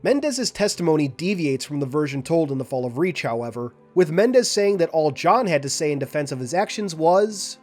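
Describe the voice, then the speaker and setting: A man's voice: on the high side, expressive, brisk, hardly any pauses, a little mellow, faint music behind. Man narrating a scripted lore breakdown. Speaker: lore video host.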